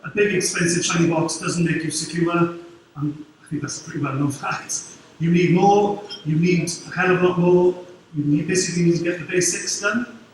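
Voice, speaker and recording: Welsh male conference speaker, middle-aged, quick and informal, faint hall ambience. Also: high voice, slight background noise. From Wales. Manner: quick, informal, dry humour. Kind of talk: conference talk. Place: large dark conference hall. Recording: very echoey hall, distant mic.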